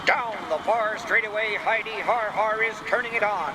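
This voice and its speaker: A man voicing an excitable sports commentator calling a storybook race in a punning, play-by-play style. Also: high-pitched, normal speed, noisy background, pitched above the performer's own voice, natural voice. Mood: brisk, eager. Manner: punning, building play-by-play delivery.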